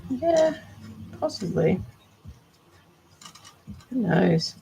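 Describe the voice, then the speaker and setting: A woman with a well-spoken Australian accent from Melbourne, chatting calmly on a live stream as she sculpts a doll and narrates each step. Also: mid-range voice, extremely slow, vocal fry, slight background noise, rough recording. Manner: talks calmly while working, with quiet asides about each step. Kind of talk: casual live-stream chat while sculpting.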